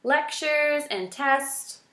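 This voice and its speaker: Lady doing a scared little girl mouse from a storybook, high voice, stammery and pleading, roomy sound. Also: very slow, bright, forceful tone, heavily acted. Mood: earnest. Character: small timid female mouse, fearful, pleading, earnest. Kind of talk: children's storybook character voice.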